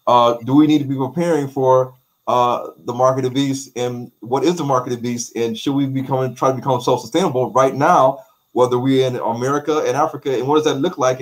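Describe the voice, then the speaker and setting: A man's voice: medium pitch, rough recording. Man, middle-aged, interview guest, video call, emphatic, rhetorical questions. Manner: emphatic, repeats words, asks rhetorical questions. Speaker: documentary maker, guest. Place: bright room with light walls.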